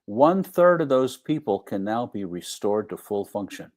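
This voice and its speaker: A man's voice: lowish voice, very animated, a little slow, a little mellow. A man speaking as a guest doctor in a live discussion, explaining things in emphatic, declarative statements.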